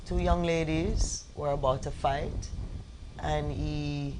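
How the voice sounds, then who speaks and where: A woman in her forties recounting a personal story in conversation, halting and repeating words for emphasis. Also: low voice, steady tone, background noise. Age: 40s. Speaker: interview guest, bereaved mother.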